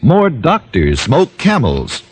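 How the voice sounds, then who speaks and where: Old-time radio ad man: medium pitch, rough-edged, lively, emphatic reads. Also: slow pace. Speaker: announcer. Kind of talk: 1940s-50s radio advertisement announcing.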